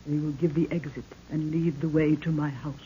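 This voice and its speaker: A woman's voice: low-pitched, fairly even intonation, a little fast, mellow, close to an everyday voice. Woman playing solemn regal African queen, archaic declamation, old radio serial, muffled sound, background hiss. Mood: grave, stately. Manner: archaic, stately, declamatory speech.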